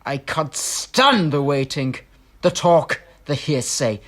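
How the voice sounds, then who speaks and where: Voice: medium pitch, animated, slow, fairly bright tone. An actor playing a pompous local MP and head of a grand family, given to theatrical outrage.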